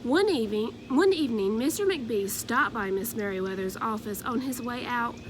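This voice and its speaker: A woman narrating a children's storybook aloud in an expressive, deliberate way. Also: a little high, noisy background.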